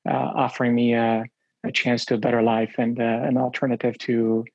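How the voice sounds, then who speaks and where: A man in his forties, originally from Romania, telling his life story in an interview, with many pauses and fillers. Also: medium pitch, little pitch movement, a little mellow, no echo, dull audio. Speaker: guest.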